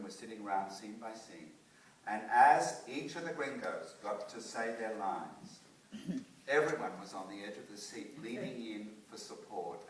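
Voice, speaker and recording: Guy at a theater talkback, lively, lots of restarts, big echoey hall, mic far off. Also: fairly low pitch, a little hiss or hum. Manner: animated, restarts often. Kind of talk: post-show talkback. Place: theatre auditorium.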